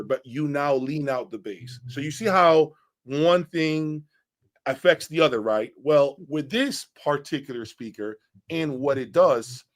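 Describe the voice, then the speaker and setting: A man reviewing audio gear in an informal, opinionated breakdown, speaking bluntly and emphatically and often checking in with the listener. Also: medium pitch.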